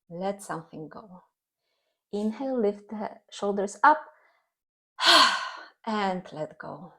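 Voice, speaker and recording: A woman teaching guided meditation and gentle yoga in a calm, soothing, instructional manner. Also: mid-range voice, vocal fry.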